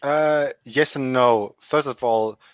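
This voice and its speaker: A man's voice: mid-range voice, slow, smooth, no echo. A man in his twenties with a Danish accent, speaking frankly on an online panel with many restarts. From Denmark. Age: 20s. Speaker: youth organization chair, panelist. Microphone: video call. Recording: dull dry video call audio.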